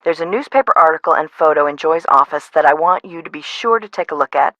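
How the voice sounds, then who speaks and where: A woman's voice: deep voice, lively intonation, fast, fairly bright tone, no echo, rough recording. An actress voicing a frazzled, no-nonsense woman who runs an amusement park in a video game, conversational and dry. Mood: matter-of-fact, regretful. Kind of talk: scripted video game voice acting.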